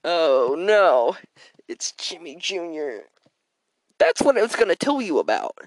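An awkward teenage boy, voiced by a woman who mumbles and stumbles over his words. The voice is dropped low and slow in a dry, close recording for a homemade cartoon. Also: sing-song, no echo, low-quality recording. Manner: hesitant, mumbling.

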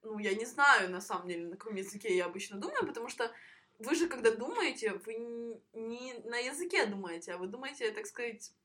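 A woman's voice: on the high side, lively intonation, fairly quick, sharp tone. A young woman from Ukraine co-hosting a podcast, chatting casually and animatedly, laughing often. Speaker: podcast co-host. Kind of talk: casual chat.

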